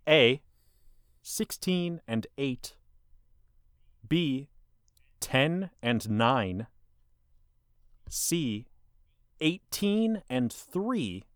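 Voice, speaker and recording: A man's voice: medium pitch, big pitch swings, very slow, long pauses, clean tone, no room sound, studio quality. A man hosting a casual comedy podcast, running a movie quiz with jokes and frequent laughter. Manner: jokey, laughs often, quiz-master phrasing.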